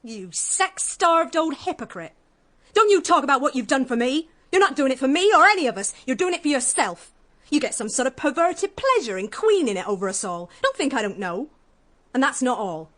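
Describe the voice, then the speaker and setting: An actress playing a defiant young English housemaid in a radio drama, bold, mocking and cutting. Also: female voice, high voice, big pitch swings, normal speed, a bit bright. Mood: angry defiance. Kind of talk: British radio drama acting.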